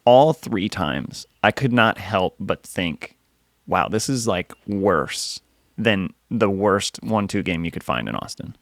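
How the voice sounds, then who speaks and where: Young male co-host, lowish voice, relaxed and wry, dry close recording. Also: slow, no room sound. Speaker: podcast co-host, poker dealer. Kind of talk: casual chat.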